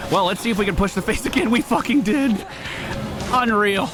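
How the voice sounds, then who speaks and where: A man giving casual gameplay commentary in an excitable way, given to swearing when thrilled. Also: high-pitched, brisk. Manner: excitable, profane exclamations. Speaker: gaming video host. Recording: game music behind voice. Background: video game music.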